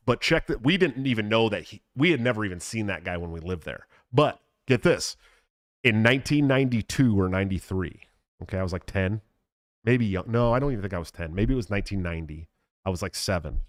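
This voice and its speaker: Man telling a story with filler-heavy pauses. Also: sits low, expressive, no echo, studio quality. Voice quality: mild stutter, many fillers and pauses. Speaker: podcast host. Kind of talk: casual podcast storytelling.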